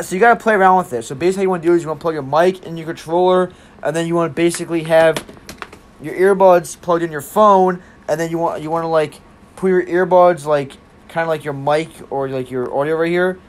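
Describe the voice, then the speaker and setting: A young man giving a casual app tutorial in a rambling, halting way, often repeating himself. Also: on the high side, fairly even intonation. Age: young adult. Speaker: tech tutorial presenter.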